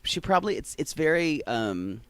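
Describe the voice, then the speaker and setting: A man's voice: fairly high pitch, sing-song, normal speed, fairly bright tone, clean tone, no room sound. A man co-hosting a podcast, chatting casually and animatedly, laughing often and restarting his sentences. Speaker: podcast co-host. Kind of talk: casual recap chat.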